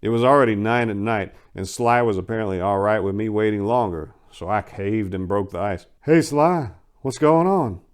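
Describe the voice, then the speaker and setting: A man giving a dramatic first-person reading of a short story in a wry, sardonic and expressive manner. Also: fairly low pitch, brisk, hardly any pauses, smooth. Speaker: story narrator.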